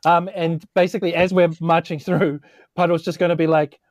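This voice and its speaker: A woman's voice: on the low side, flat delivery, fairly quick, a little mellow, no room sound, studio quality. A woman talking casually as a player in a tabletop game.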